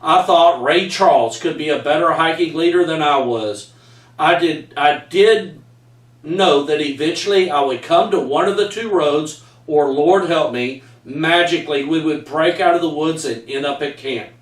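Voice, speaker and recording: A middle-aged Texan man reads his own story aloud with a folksy, homespun delivery. His voice sits a bit high for a man. He stands back from the camera, so the sound comes from afar, with a roomy echo. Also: normal speed, far from the mic. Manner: folksy storyteller, says y'all. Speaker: author reading his book aloud. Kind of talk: reading a personal story aloud. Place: home room with framed pictures, indoors. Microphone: far camera. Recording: echoey room, voice from afar.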